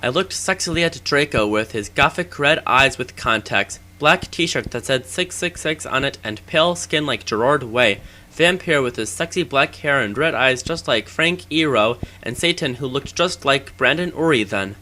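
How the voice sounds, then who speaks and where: A man's voice: medium pitch, expressive, moderate pace, bright, forceful tone. A man giving a theatrical, mock-serious comedic reading of fan fiction on an amateur game microphone. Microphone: Rock Band game microphone. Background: music.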